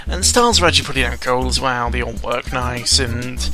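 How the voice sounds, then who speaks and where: A man's voice: mid-range voice, brisk, music in the background. A man giving casual, rambling video game commentary in an opinionated, sweary and exasperated manner. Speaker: gaming vlogger.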